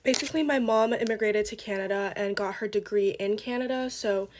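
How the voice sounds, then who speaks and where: A woman's voice: medium pitch, fairly quick, a little mellow, a little hiss or hum. A young woman from Taiwan talking candidly and reflectively in a chatty get-ready-with-me storytime. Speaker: TikTok creator.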